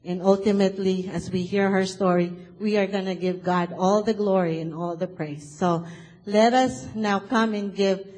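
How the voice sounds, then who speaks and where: A woman's voice: medium pitch, fairly even intonation, normal speed, darkish tone, low-quality recording. A woman from the Philippines with a Filipino accent, whose first language is Tagalog, earnestly introducing the guest speaker at a church service. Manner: earnest, enthusiastic. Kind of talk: formal introduction. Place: church sanctuary.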